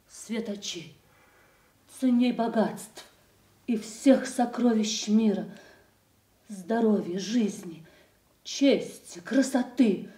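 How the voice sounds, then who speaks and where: A woman playing an effusive, ceremonious princess in a classical radio tragedy, declaiming flattery in measured phrases. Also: mid-range voice, recorded from a distance, lightly acted. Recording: echoing stage sound, off mic.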